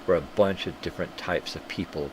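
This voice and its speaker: A man's voice: deep voice, a little fast. An older man hosting a live stream, rambling through casual, profane tangents while sniffly from a cold. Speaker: livestream host. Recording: clear background hum.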